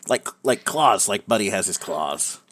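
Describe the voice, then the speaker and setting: A man's voice: fairly low pitch, lively intonation, unhurried, a bit bright. A man chatting casually, playful and encouraging. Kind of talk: casual chat.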